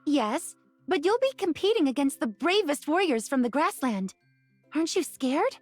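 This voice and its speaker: An actress playing a kind, forthright young shepherdess from a grassland tribe in a romance game. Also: female voice, high-pitched, sing-song, normal speed, no echo, faint music behind. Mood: eager, welcoming. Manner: bright, forthright, welcoming. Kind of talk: game character dialogue.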